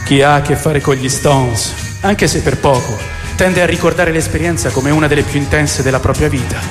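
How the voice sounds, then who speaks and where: An actor playing a solemn, reflective narrator in a scripted radio drama. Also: male voice, on the high side, moderate pace, lightly acted. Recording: echoey long reverb under clear music. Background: music.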